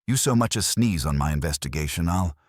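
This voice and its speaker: A man voicing a gruff, irritable, weary police inspector in a detective audiobook, blunt, clipped and bossy. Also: low voice, lively intonation, a little fast, dry recording, clean studio sound. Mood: irritated, blustering.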